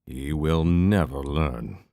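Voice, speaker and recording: A man playing a steady, practical, protective adventuring companion in a fantasy audio drama. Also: rumbling low, slow pace, gravelly, no echo, studio quality, exaggerated voice. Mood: matter-of-fact.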